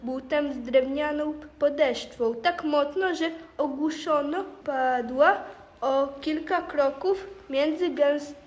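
A woman's voice: high-pitched, takes it slowly. A girl of about twelve reading scripted storybook narration. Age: child, around twelve.

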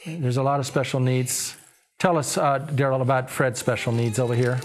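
A man's voice: mid-range voice, fairly even intonation, normal speed. A man in his sixties speaking as a pastor and TV host, making an earnest, preacherly appeal in an interview. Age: 60s. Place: small TV studio living-room set. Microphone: lapel.

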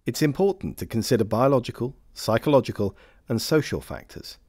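A man reading scripted instructional narration for a training film in a measured, formal, carefully enunciated way. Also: medium pitch, expressive, darkish tone, husky, no room sound, studio quality.

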